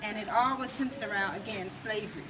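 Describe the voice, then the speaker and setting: A noisy, distant-miked recording of an American woman in conversation. She has a medium-pitched voice and speaks emphatically and reflectively, setting out her story in short, deliberate phrases as an interview guest. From United States. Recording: distant mic, clearly noisy background.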